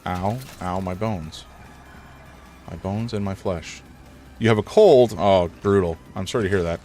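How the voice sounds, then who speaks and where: A man live-streaming a horror game, rambling through his commentary, chatting with viewers, and reacting loudly to scares, a little congested. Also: low voice, a little slow, soft background music. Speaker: gaming streamer.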